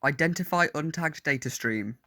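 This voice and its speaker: A man's voice: fairly high pitch, moderate pace, pushed and bright. Man acting a cold, formal alien war android. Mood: clinical. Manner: formal, clipped, clinical speech. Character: cold menacing alien war android posing as teenage boy. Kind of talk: audio drama acting.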